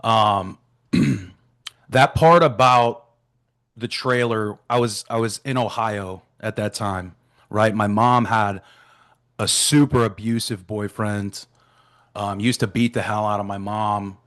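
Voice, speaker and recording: A man's voice: fairly low pitch, steady tone, takes it slowly, fairly bright tone. A man in his thirties from Florida, a guest on a video call, openly telling his life story and often adding "right?" and "man". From Florida, USA. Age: 30s. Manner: open, leans on "right?" and "man". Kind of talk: candid personal storytelling. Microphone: remote video call.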